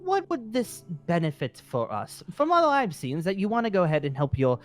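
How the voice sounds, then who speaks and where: Ancient yet youthful male dragon slayer played by a man: polite, formal, higher-pitched, very animated, music behind, fantasy game. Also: a little fast. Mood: measured, questioning. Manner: formal, wordy, hesitant. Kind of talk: improvised fantasy game roleplay.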